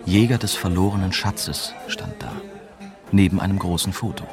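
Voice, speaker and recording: An actor playing a young German journalist, narrating a radio drama in a reflective, bitter way. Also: male voice, low-pitched, normal speed, crowd noise, lightly acted. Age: young adult.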